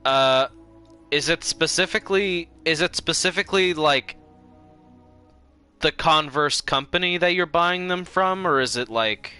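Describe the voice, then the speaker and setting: A man's voice: fairly high pitch, a little slow, pushed and bright, smooth, soft background music. A man chatting casually while livestreaming a game, rambling and circling back over his own sentences. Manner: rambling, easily distracted, repeats himself.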